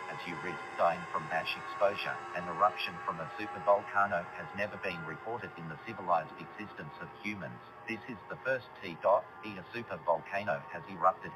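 A man playing a grave government representative reading a scripted emergency broadcast in a formal, measured manner. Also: sits low, lightly acted, poor audio. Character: grave male presidential representative giving a catastrophe warning.

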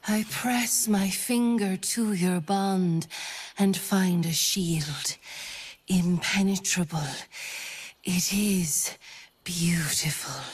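A very slow, solemn mystical nature priestess. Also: female voice, mid-range voice, a little mellow. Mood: tender, solemn. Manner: ceremonial, poetic, deliberate, often breathy. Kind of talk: video game character acting.

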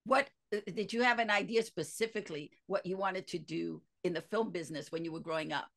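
A woman's voice: fairly low pitch, a little fast, gravelly, fairly bright tone. A woman hosting an interview over a headset from her home office, eager and enthusiastic. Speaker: podcast host.

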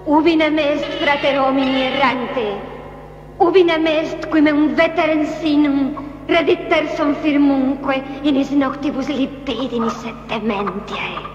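High, echoing, solemn witch. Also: slow pace, background noise. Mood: solemn, ritual. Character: solemn female witch chanting an incantation. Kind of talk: slow Latin ritual declamation. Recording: muffled film, long echoing reverb, music.

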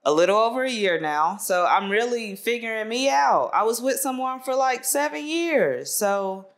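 A woman's voice: on the high side, animated, moderate pace. A woman in her twenties chatting candidly as a guest, laughing often and using lots of fillers, recorded on a lapel mic. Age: 20s. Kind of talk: casual conversation.

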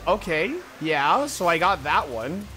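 A man's voice: a little high, sing-song, unhurried, pushed and bright, soft background music. A man streaming a puzzle adventure game, casually commenting, reading text aloud and muttering to himself.

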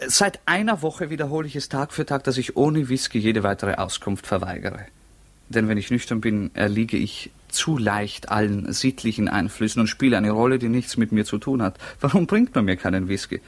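A fairly low-pitched male prisoner in the manner of 1950s radio drama. He is stubborn and ironic, denying who he is. His courtesy always carries a provocation. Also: normal speed, dry recording. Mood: defiant, indignant. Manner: ironic, insistent, polite but provocative. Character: defiant prisoner, a man denying his identity.

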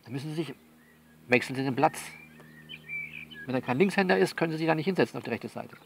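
A man playing a frank, worldly coach who talks a female client through things by phone in a blunt, coaxing, colloquial way with repeats and pauses. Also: medium pitch, animated, creaky, noisy background. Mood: matter-of-fact, advising. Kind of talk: improvised-sounding one-sided phone monologue in an audio novel.

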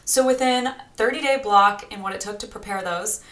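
A woman in her thirties coaching on grant writing in a casual, energetic talk, gesturing as she speaks from a small home studio. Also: mid-range voice, moderate pace, roomy sound. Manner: energetic and chatty, talks with her hands. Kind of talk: direct-to-camera teaching vlog.

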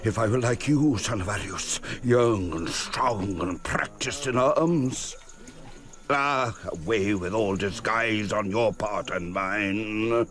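An old desert sheikh, proud and fiery, in a theatrical radio play. His voice is fairly low and his delivery slow, emphatic and declamatory. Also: a bit bright. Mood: fervent. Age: older. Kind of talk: theatrical radio drama acting.

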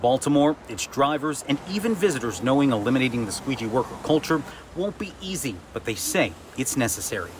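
A man in his thirties giving a TV news field report with a handheld mic, outdoors on a city street at night, in a steady, clipped newscast delivery. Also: mid-range voice, brisk, noisy background.

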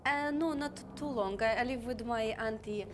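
Woman doing a naive lady job hunting, broken english, high-ish voice, faint hiss behind. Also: lightly acted. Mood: earnest. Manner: broken English, halting, earnest. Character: naive divorced Russian woman job-seeker, earnest. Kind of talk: comedy sketch acting.

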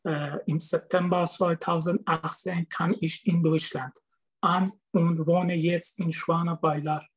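A man from Iran in his thirties with a Persian accent, speaking halting German on a video call. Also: on the high side, flat delivery, husky, rough recording. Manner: halting, searching for words. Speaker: asylum seeker. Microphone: laptop webcam on a video call.

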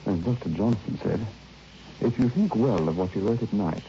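A man's voice: on the low side, normal speed, mellow, natural voice. An actor playing a genteel, hesitant male writer in an old radio drama, courteous and well-spoken. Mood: uneasy. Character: genteel, anxious male writer lodging away from home. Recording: muffled old radio recording, faint noise.

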